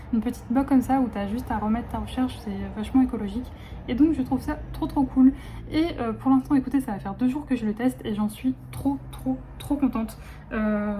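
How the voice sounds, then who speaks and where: Woman, late 20s, casual vlogger; rambling, filler-heavy talk, warm dark tone, phone recording. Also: fairly high pitch, a little hiss or hum. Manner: chatty, rambling, many fillers and restarts. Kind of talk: casual vlog chat.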